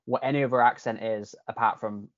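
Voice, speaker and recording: A man's voice: mid-range voice, fairly even intonation, fairly quick, dry recording. A man speaks with a Yorkshire accent that has picked up a Canadian tinge along the way. He talks in a loose, chatty way, restarting his sentences and swearing now and then. The recording is dry and a little muffled. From England. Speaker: podcast guest. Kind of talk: casual podcast conversation.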